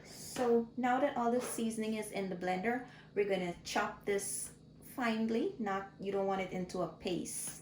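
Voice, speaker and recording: A woman hosting a cooking channel, explaining each step in a slow, friendly way from her home kitchen. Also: medium pitch, darkish tone, a little hiss or hum. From Guyana. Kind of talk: casual cooking tutorial. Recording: echoey kitchen with clattering pots.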